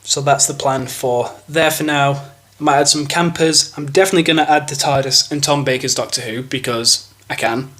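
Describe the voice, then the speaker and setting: A man's voice: mid-range voice, fairly even intonation, moderate pace, a bit bright. A young man with a stuffy, bunged-up voice from a cold, walking through his model railway layout in a rambling, casual way. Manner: rambling, thinks aloud with fillers and restarts. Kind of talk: casual show-and-tell walkthrough.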